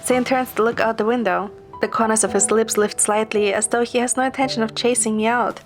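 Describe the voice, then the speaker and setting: Higher-pitched woman narrating a story. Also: fairly even intonation, a little fast. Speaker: story narrator, amateur voice performer. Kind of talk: scripted first-person story narration. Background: clear background music.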